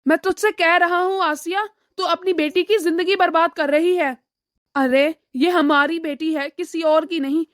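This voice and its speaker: A woman voicing a weary, moralizing middle-aged father who lectures his family in an animated drama. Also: very high-pitched, normal speed, no echo, clean studio sound. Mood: earnest, admonishing. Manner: admonishing, lecturing.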